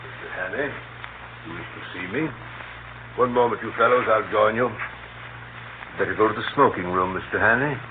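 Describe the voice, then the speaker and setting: An actor playing a genial, easily flustered old English gentleman, bumbling and affable, in 1930s radio drama style. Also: lowish voice, normal speed, pauses often, natural voice. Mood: mild, courteous. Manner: bumbling, affable, protesting innocence. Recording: scratchy, muffled 1930s radio broadcast with hum.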